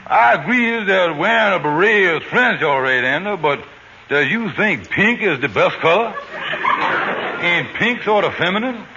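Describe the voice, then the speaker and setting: An actor playing a boastful, scheming con man in an old radio comedy, talking smoothly and mangling French words. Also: sits high, animated, a little slow, pushed and bright, full character voice. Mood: persuasive. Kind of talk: 1940s radio comedy acting. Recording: dull old radio broadcast with faint hiss.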